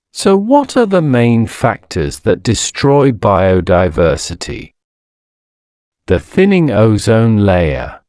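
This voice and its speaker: A man reading scripted educational narration in a measured, deliberate way, enunciating each phrase with pauses. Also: medium pitch, darkish tone, dry recording, studio quality. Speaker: narrator of an English-learning audio story.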